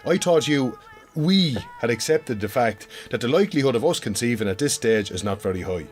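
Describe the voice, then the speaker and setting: Actor as Irish farming husband, weary and evasive. Natural radio play delivery with big pitch movement. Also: male voice, expressive, brisk, soft background music, natural voice. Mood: exasperated. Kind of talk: naturalistic radio drama dialogue.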